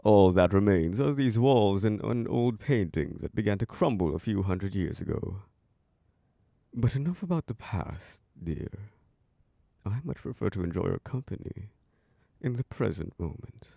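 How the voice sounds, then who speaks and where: A man voicing an ancient, charming and possessive male vampire lord who hosts a masquerade, speaking in a slow, seductive, close-mic ASMR roleplay with soft chuckles. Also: animated, dry recording. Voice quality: low gravelly voice. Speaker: castle host.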